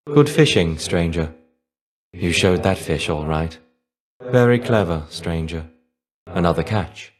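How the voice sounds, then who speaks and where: A man playing a weary, melancholy shade of a fallen ancient Greek warrior in a video game, measured, wistful and dryly understated. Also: sits low. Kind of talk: video game character voice lines.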